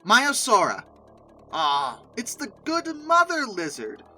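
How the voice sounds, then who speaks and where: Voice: mid-range voice, huge pitch swings, takes it slowly, bright, forceful tone, soft background music. A host giving conversational, opinionated video commentary, with emphatic asides. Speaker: host of a video ranking show.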